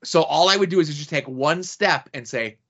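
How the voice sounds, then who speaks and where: A man co-hosting a podcast in casual chat, with a big loud voice and a blunt, profane way of talking. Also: a little high, moderate pace. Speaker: podcast co-host. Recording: dull lo-fi podcast audio.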